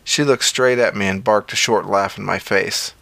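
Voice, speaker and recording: A man's voice: on the low side, normal speed, creaky, fairly bright tone, no echo. A man narrating a scripted first-person story as an audio fiction reader.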